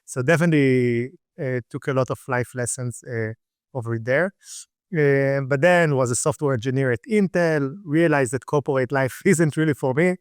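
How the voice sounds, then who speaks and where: A man in his thirties from Israel with an Israeli accent, a startup founder talking casually in an interview, relaxed with many fillers. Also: mid-range voice, smooth, no echo, studio quality. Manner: relaxed, fillers and restarts, laughs lightly. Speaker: guest, startup CEO.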